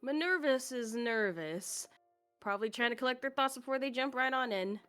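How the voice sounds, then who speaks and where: A woman's voice: on the high side, moderate pace, fairly bright tone, smooth, faint music behind. A woman giving playful color commentary on an esports match. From United States. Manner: playful, laughs easily.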